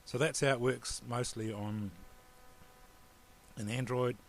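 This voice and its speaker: A man with a New Zealand accent giving a relaxed, rambling screen-recorded computer tutorial. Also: medium pitch, slow, gravelly, a little hiss or hum, low-quality recording. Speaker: tutorial presenter.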